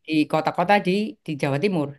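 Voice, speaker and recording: Older woman, deep voice, halting, many fillers, interview guest. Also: a little mellow. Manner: hesitant, many filler pauses. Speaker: guest, article author. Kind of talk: interview, personal recollection.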